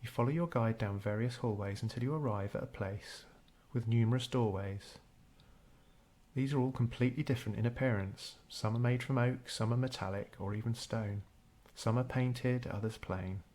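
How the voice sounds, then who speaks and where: A man narrating a scripted guided meditation in a measured, unhurried storytelling way. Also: medium pitch, darkish tone.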